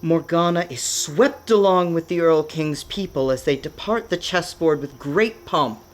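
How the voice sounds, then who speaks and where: A woman narrating a scripted radio play with measured, dramatic storytelling. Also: low-pitched.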